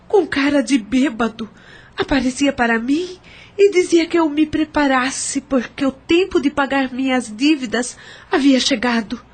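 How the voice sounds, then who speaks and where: A woman's voice: high-pitched, a little mellow. A Brazilian woman playing a troubled, regretful estranged mother in an audio drama.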